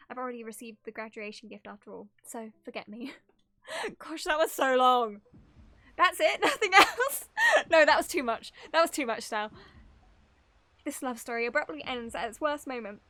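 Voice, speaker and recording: A woman's voice: a little high, sharp tone. A woman streamer reading game story text aloud, with giggly, amused asides between passages. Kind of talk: reading game text aloud dramatically with casual live reactions.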